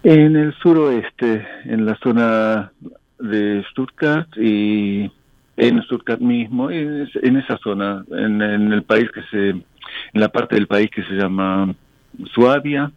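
A man in his sixties from Buenos Aires with an Argentine Spanish accent, speaking calmly and hesitantly with many fillers as a radio guest. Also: lowish voice, slow, no echo, highs cut off. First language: English. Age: 60s. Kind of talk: casual radio interview.

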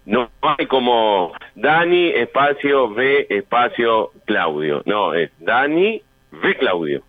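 A man with a Rioplatense Spanish accent hosting casual, joking radio banter in a halting way. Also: medium pitch, big pitch swings, no echo. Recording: tinny band-limited radio sound.

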